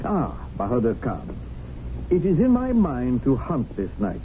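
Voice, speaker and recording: Raj-era gentleman hunter: formal, clipped, highly animated intonation, mellow tone, old radio drama. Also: measured pace. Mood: polite, unruffled. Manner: clipped, formal, matter-of-fact. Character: proper British Raj gentleman, avid tiger hunter. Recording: muffled old radio broadcast, faint noise.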